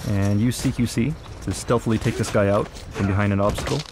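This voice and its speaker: A man's voice: fairly low pitch, steady tone, fairly quick, background noise. A man presenting a live gameplay demo, explaining moves and options in an instructional way. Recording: loud music behind the voice.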